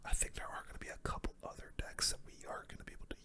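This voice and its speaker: Young man whispering relaxed gaming commentary. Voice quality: breathy unvoiced whisper. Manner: casual, slangy, unhurried whispering. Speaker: gaming video host.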